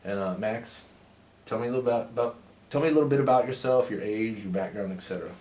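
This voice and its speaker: A man's voice: fairly low pitch, fast, a little mellow, slight background noise. A man narrating his own documentary and then interviewing a guest with plain, straightforward questions.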